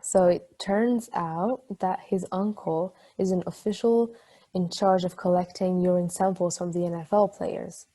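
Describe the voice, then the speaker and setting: A woman playing a chatty partner in a table read, telling an anecdote in a rambling, conversational way with a slightly husky voice. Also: on the low side, fairly even intonation, measured pace, warm tone. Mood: amused storytelling.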